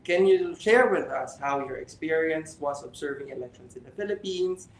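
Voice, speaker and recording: Slightly high-pitched male podcast host. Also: lively intonation, slight background noise. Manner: friendly, quick back-channel replies. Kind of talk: hosting a panel interview. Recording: echoey room.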